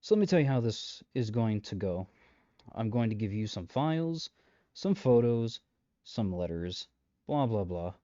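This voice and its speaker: Guy doing a shady sarcastic matchmaker in a comedy roleplay, mellow rambly voice, breezy but menacing, super dry close sound. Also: on the low side, no echo, highs cut off, close to an everyday voice. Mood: bossy, dismissive. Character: shady, flippant male matchmaker coercing a trapped customer. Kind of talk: comedic audio roleplay monologue to the listener.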